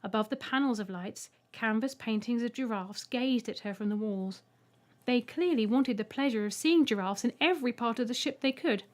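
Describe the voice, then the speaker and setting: High-voiced woman narrating a story. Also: normal speed. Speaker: audiobook narrator.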